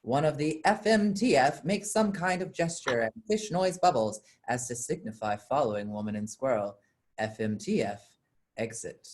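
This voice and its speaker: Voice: medium pitch, moderate pace. A narrator reading out scripted stage directions for a staged play reading.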